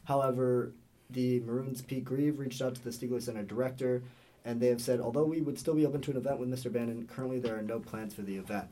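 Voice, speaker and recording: Young male student co-host, rapid pace, warm dark tone, brisk read. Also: medium pitch, steady tone, low-quality recording. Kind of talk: reading news and adding comments. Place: recording booth.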